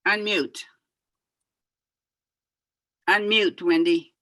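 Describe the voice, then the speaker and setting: A woman's voice: mid-range voice, steady tone, drawn-out words, bright, forceful tone, no room sound, clean studio sound. A woman facilitating an online workshop, calling on participants by name with short upbeat prompts. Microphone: video call.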